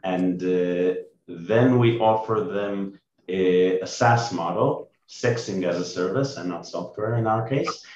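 A middle-aged man with a low voice presents like a company chief pitching to investors. He explains things in measured wording. The sound is muffled and roomy, as on a video call indoors. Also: a little mellow. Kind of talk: business pitch and Q&A.

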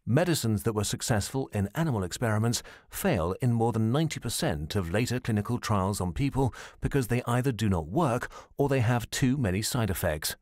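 A man reading scripted documentary narration in a measured, formal and serious way, as a studio voice-over. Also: on the low side, no echo.

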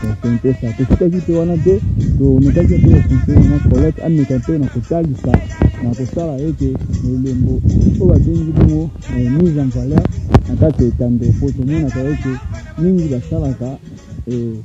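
A man speaks as a field reporter, recorded outdoors on open land. He talks in an animated way at an ordinary pitch, with music running clearly behind him. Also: a little fast, dull audio. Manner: animated, switching between Lingala and French.

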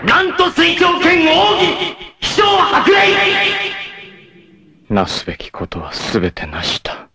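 An actor playing a proud, doomed martial-arts warrior in an anime, tender and self-sacrificing, with solemn, theatrical delivery. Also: male voice, very high-pitched, huge pitch swings, slow pace, creaky, pauses often, music in the background, full character voice. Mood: fierce battle cry, then serene, resigned. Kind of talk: dramatic anime acting, monologue and narration.

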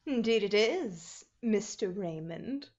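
Woman as a lively 1940s radio pitchwoman, roomy sound. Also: slow pace. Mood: sweet, chipper. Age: young adult. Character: cheery, prim 1940s housewifely radio pitchwoman. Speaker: radio show sidekick and ad announcer. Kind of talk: 1940s radio commercial acting. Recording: roomy, slightly dull sound.

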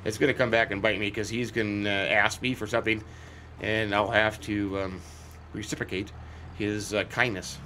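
A middle-aged man with a slightly hoarse, scratchy voice, rambling folksy commentary as he plays a farming game. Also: lowish voice, little pitch movement, normal speed, bright, forceful tone. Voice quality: scratchy, cracky throat. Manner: rambling, folksy, chatty asides. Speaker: let's play host.

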